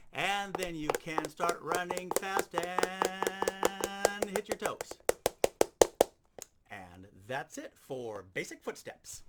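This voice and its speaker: A man with a high-pitched, very animated voice gives a hands-on lesson. He explains each step informally as an instructor would. Loud noise and clatter sit behind his voice. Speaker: sound effects instructor. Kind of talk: instructional demonstration talk.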